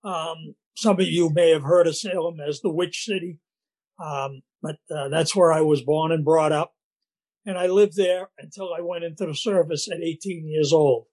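An older man with a Boston accent and a scratchy voice, telling his recovery story at a meeting in a halting way, with many fillers and restarts. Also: a little high, fairly even intonation, a little mellow. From Massachusetts, USA.

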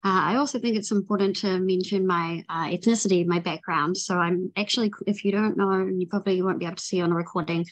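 Tired New Zealand woman, slightly low voice. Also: fairly even intonation, fast talker, few pauses, low-quality recording. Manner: rambling, many ums and restarts. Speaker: person sharing recovery story. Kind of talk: personal recovery share.

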